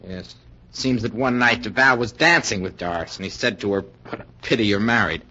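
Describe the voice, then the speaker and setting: A man's voice: fairly low pitch, brisk, bright, forceful tone, a little hiss or hum. An actor playing an earnest, suspicious suitor in a 1940s radio thriller, urgent and insistent in his delivery. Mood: grim, warning. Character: suspicious, jealous, earnest male suitor warning of danger. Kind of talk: 1940s radio suspense acting. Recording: muffled 1940s radio recording.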